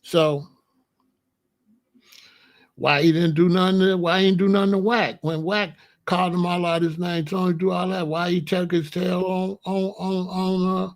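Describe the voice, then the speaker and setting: A man with a gravelly, somewhat high voice talks bluntly through a casual monologue. His is slangy and repetitive, and he keeps asking whether you follow him. He is confrontational and plain-spoken. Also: level tone, moderate pace. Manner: repetitive, keeps asking you know what I'm saying. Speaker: commentary channel host.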